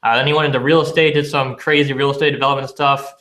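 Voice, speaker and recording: A man's voice: medium pitch, fairly even intonation, fast, a bit bright. A young man hosting a podcast, easygoing and laid-back in casual interview talk. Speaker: podcast host.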